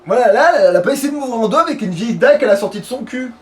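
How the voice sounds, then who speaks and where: A man's voice: very high voice, expressive, rapid-fire. A man commenting casually on his game, with crude joking slang. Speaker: let's player. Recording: noisy home recording.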